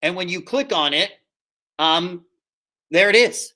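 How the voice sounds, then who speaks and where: A man with a fairly high, very bright voice talks like a drama teacher walking students through an assignment. He is upbeat and informal, explains things step by step, and often checks in with the class. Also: expressive, a little slow. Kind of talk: casual instructional talk to students in an online class.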